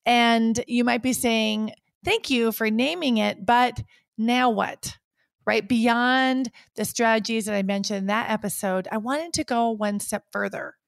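A fairly high-pitched woman hosts a podcast interview in an upbeat, chatty way. Her voice is close to the mic and dry, with no room sound. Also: a little slow, creaky, no echo. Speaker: podcast host.

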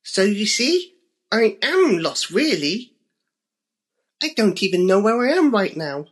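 A man's voice: sits high, very animated, slow, breathy edge, pitched above the performer's own voice, full character voice. A man voicing an eager, lost young male bunny in a children's story.

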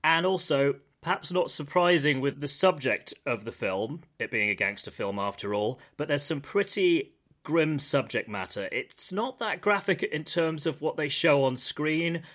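Bright-voiced podcast host. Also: male voice, fairly high pitch, lively intonation, measured pace. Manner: upbeat, guiding the conversation. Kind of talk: hosting a podcast.

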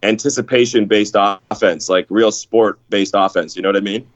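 A man's voice: lowish voice, fairly even intonation, normal speed, dry recording. A deferential man feeling his way through sentences as a guest on a chat show, heard close and slightly dull over a lo-fi connection. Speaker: professional wrestler, guest on a wrestling show. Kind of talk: casual chat.

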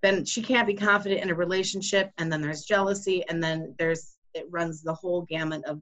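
A woman's voice: lowish voice, steady tone, brisk, a bit bright. A woman who practices Reiki, a podcast guest, explaining earnestly with many "you know" asides. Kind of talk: casual podcast chat.

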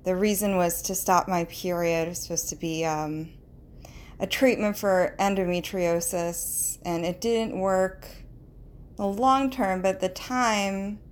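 A woman's voice: mid-range voice, steady tone, unhurried, a little hiss or hum. A woman in her thirties speaking casually and reflectively, as if recording a personal voice memo, with some hesitation. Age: 30s.

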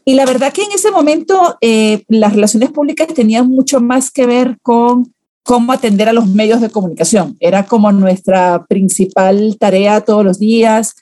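A woman in her fifties with a mellow, warm voice. She talks reflectively about her career as a podcast guest, pausing on fillers. The sound is dry and close. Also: fairly high pitch, no echo. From Venezuela. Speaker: guest, public relations consultant. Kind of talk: podcast interview.

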